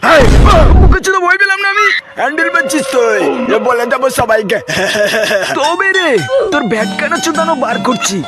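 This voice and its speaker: A man voicing crude, excitable cartoon men in comic skits, swearing with exaggerated delivery. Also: extremely high pitch, fairly quick, long pauses. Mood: crude, aggressive. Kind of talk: comic cartoon skit dubbing. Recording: loud music behind the voice. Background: music.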